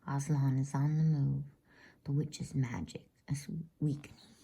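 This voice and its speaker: A woman voicing a huge, glad, solemn old man in a measured bedtime storybook whisper. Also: extremely low pitch, flat delivery, gravelly, mellow, slightly rough, exaggerated voice.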